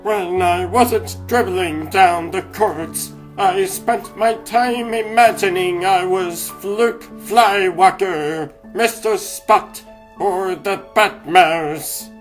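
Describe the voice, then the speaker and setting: Young mouse hero narrating , played by a man: slow, slangy, wry, music underneath. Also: high-pitched, rough recording. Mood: wry, reflective. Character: young mouse basketball star recounting his troubles. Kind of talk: first-person scripted narration in comic dub.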